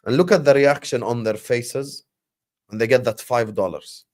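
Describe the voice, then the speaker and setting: A man from Jordan with an Arabic accent, a native Arabic speaker hosting a livestream watchalong in casual, animated chat, giving shout-outs to his viewers. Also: medium pitch, moderate pace. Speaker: livestream host.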